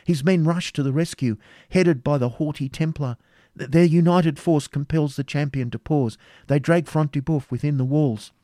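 Man voicing an urgent, high-pitched anguished young woman. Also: moderate pace, mellow, no room sound, clean studio sound, natural voice. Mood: horrified. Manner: breathless exclamations, urgent repetition. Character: anguished young woman watching battle, fervent and pious. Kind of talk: dramatic audiobook character reading.

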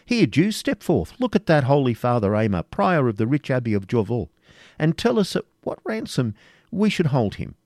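A man plays a storybook outlaw captain who is used to command. He speaks gravely with a cool, wry edge and varied pitch, recorded close and dry. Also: sing-song, normal speed, darkish tone, no room sound. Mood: brisk.

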